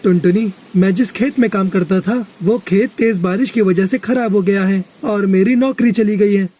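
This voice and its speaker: A man's voice: high-pitched, normal speed, warm tone, slight background noise, close to an everyday voice. A man voicing an earnest, weary husband crow in a moral-story cartoon, speaking plainly. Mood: dejected.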